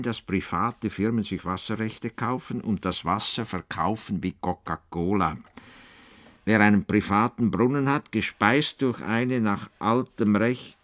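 An actor playing an earnest, self-assured farmer-philosopher in a radio play, pondering and deliberate. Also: male voice, fairly low pitch, a bit bright.

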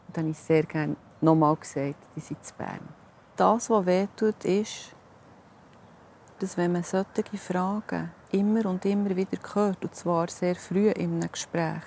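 Swiss presenter, woman, mellow gravelly voice, reflective documentary tone. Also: lowish voice, steady tone, moderate pace, slight background noise. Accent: Swiss German. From Switzerland. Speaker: television presenter. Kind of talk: documentary interview.

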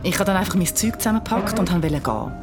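Casting: anxious, determined young Swiss German mother, lowish voice, naturalistic audio drama, music underneath. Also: expressive, quick pace. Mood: narrating, reflective. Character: Steffi, anxious, driven young mother investigating a mystery.

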